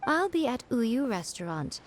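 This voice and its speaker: An actress playing a poised, sly, articulate lady editor in a video game, speaking in a measured, teasing manner. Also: fairly high pitch, very animated. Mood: sly, confident.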